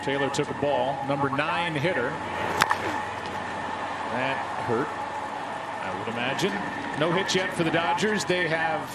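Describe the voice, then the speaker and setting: A man's voice: a little high, measured pace, long pauses, heavy background noise. A man with an American accent calling live baseball play-by-play as a sports announcer.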